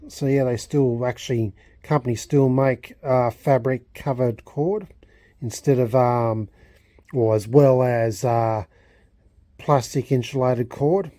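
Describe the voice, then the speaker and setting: A man talking unscripted as a hobbyist vlogger, in a halting way, often repeating and rephrasing himself. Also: medium pitch, fairly even intonation, warm tone, no room sound, clean studio sound. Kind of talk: unscripted restoration vlog talk.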